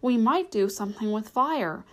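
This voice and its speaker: A woman's voice: on the high side, animated, normal speed, close to an everyday voice. A woman voicing a loyal, practical male servant with plain rustic speech in a storybook adventure. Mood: urgent.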